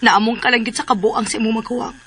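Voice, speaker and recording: High, bright, feisty wronged girlfriend. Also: steady tone, quick pace, breathy edge. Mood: gently puzzled. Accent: Cebuano. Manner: emotive, reproachful. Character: wronged young girlfriend, feisty, indignant, long-suffering. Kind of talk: melodramatic Filipino radio drama acting.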